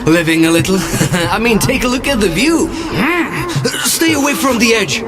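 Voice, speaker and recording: An actor playing a wistful, easygoing wasteland drifter in a video game, musing aloud. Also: male voice, high-pitched, animated, moderate pace. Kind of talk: video game cutscene acting. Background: loud music.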